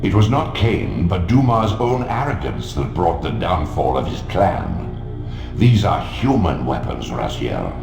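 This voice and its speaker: An actor playing an ancient godlike spirit in a video game, grave, stately and formal, with a deep gravelly voice. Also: male voice, very animated, unhurried, full character voice. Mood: grave, scornful. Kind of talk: scripted game narration. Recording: echoing, music underneath.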